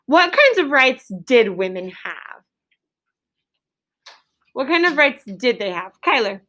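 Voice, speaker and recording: High-voiced woman teaching a class. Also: sing-song, takes it slowly, sharp tone. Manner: directive, repeats and confirms answers. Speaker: elementary school teacher. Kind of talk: classroom question-and-answer lesson. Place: classroom with pupils.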